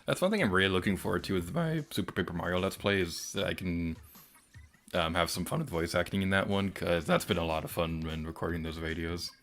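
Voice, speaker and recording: A young man with a very low voice that still sounds bright and forward. He talks softly and hesitantly as he chats on a stream, filling gaps with ums. Faint music plays under him. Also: brisk. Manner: soft-spoken, hesitant with many ums. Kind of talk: casual stream chat.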